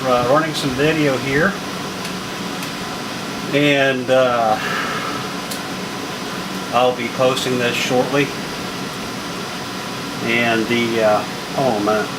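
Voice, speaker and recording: A man talking casually as an amateur radio operator, halting and distracted as he goes. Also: mid-range voice. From United States. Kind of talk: casual ham radio check-in. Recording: very noisy radio room recording.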